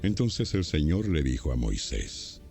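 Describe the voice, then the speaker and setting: A man's voice: extremely low pitch, sing-song, unhurried, vocal fry, music in the background. A man narrating scripture as scripted, dramatized storytelling.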